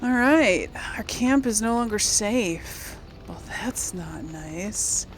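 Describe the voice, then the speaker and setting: Slow-paced, animated woman playing a game. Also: medium pitch, slight background noise. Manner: talks to the game and its characters, thinks aloud. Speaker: gaming video host. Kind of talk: casual let's play commentary.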